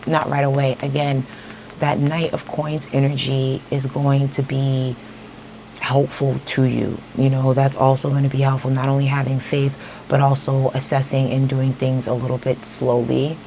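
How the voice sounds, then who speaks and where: A woman giving a casual tarot reading straight to her viewers, a little hoarse as she is losing her voice, often checking in with "okay?". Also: very deep voice, level tone, normal speed, dark tone, a little hiss or hum, low-quality recording. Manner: talks straight to viewers, repeats "okay?" and "you know". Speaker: tarot reader.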